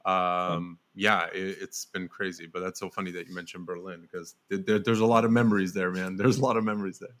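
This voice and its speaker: Host, male, thirties, fairly low voice, friendly and talkative, jumps in often. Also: level tone, a little fast, few pauses, a bit bright. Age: 30s. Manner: friendly, chatty, interjects often. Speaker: podcast host. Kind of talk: casual interview chat.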